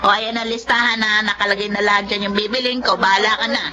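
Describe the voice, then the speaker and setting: A woman's voice: medium pitch, level tone, quick pace, natural voice. A woman playing a shrewd, greedy, practical eatery owner with a Filipino accent in a radio drama. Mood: brisk, probing. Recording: muffled radio drama with music underneath.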